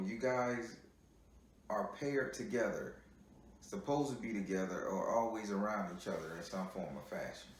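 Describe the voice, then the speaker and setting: Man reading tarot, deep echoey sound. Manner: chatty, teasing, repeats himself. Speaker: tarot reader. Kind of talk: live tarot reading call. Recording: heavy room echo.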